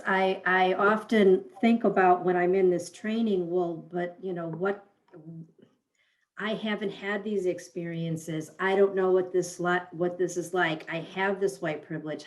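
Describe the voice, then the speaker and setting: A woman's voice: on the low side, low-quality recording. Hesitant woman thinking aloud in discussion. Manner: hesitant, searching, restarts her sentences. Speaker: training participant. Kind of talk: reflective group discussion.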